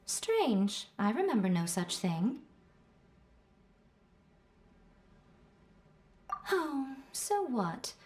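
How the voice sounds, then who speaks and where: A woman's voice: on the high side, very animated, takes it slowly, darkish tone. A woman playing a sly, self-indulgent shrine woman in a video game, with a teasing, airy, smug drawl. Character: playful, sly, self-indulgent shrine woman who teases her friends. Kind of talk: video game character dialogue.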